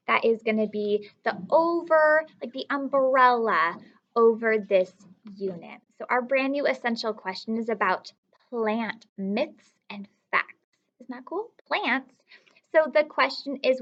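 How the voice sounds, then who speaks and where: High-pitched, very animated woman teacher. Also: dull audio. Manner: explains simply with repeated questions to the listener. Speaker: teacher reading aloud to children. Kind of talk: read-aloud lesson for young children.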